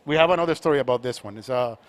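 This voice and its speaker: A man from Colombia, an art collector speaking on a panel, talking in a halting way with many repeated words and restarts. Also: mid-range voice. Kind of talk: panel conversation.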